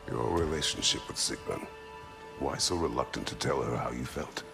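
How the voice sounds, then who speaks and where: An actor playing a stern, stoic male warrior in a video game, speaking tersely and formally in a gravelly voice. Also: very deep voice, moderate pace. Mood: probing. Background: music.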